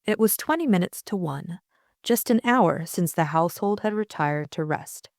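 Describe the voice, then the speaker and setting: A woman's voice: on the low side, lively intonation, moderate pace, dry recording, clean studio sound. A woman reading a novel aloud as scripted audiobook narration.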